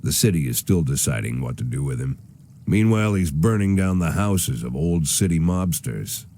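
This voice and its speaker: An aging, cynical American cop narrates a noir video game. His voice is deep, dark and relaxed, with dry, sardonic weariness. Some noise sits behind him. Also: moderate pace. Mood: dry, grim. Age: older. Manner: world-weary, dry, sardonic. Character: weary, cynical aging police chief turned corrupt.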